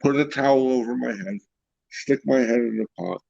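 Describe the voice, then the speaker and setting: A congested man with a deep, gravelly voice full of fry, sounding stuffed up and worn. He talks slowly and bluntly in casual chat and curses freely. The recording is dry and dull. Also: a little mellow, no echo. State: congested, coughing.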